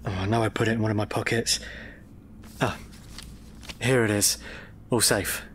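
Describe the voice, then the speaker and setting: An actor playing a gentle, anxious husband in a radio drama, soothing and pleading with some hesitation. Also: male voice, on the low side, fairly even intonation, normal speed, slight background noise, natural voice. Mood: anxious, hopeful. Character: gentle, anxious husband coaxing his confused wife.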